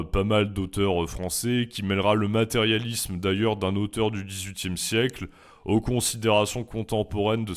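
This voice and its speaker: A young French man, a philosophy teacher and YouTuber, reading his FAQ answers in a measured, reflective way with some hesitant fillers. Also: lowish voice.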